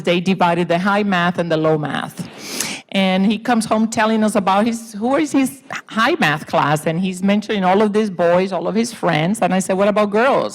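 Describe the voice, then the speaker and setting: A middle-aged woman from Puerto Rico with a Spanish accent, a panelist telling stories earnestly with some hesitations. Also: fairly low pitch. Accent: Puerto Rican Spanish. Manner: earnest, storytelling, with frequent hesitations. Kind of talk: panel discussion.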